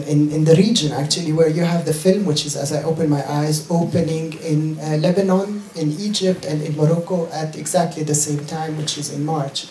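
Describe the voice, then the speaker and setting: A man's voice: a little high, warm tone, a little hiss or hum. A man moderating a film Q&A, explaining fluently with a few fillers. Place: cinema auditorium. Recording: roomy hall echo.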